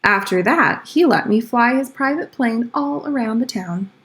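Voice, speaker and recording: A woman's voice: a little high, expressive, moderate pace, fairly bright tone. A woman voicing a schoolboy from a storybook, earnestly telling tall-tale excuses. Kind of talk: storybook read-aloud.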